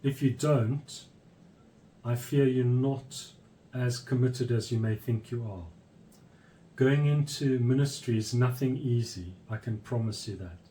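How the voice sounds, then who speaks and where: Measured, mellow-voiced man addressing viewers. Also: medium pitch, slight background noise, rough recording. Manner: measured, pausing between phrases, firm. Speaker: church leader. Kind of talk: earnest informational address.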